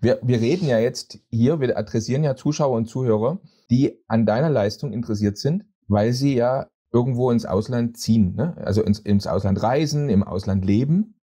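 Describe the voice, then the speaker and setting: A man's voice: mid-range voice, moderate pace, hardly any pauses, dark tone, slightly rough. A man hosting a podcast interview, asking long chatty questions with many hesitant fillers. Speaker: podcast host.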